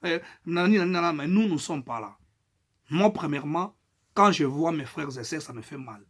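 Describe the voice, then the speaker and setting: A middle-aged man from Congo with a Congolese French accent, making an emphatic political statement, his loudness rising and falling. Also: fairly high pitch, expressive, a little fast, breathy edge. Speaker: political activist.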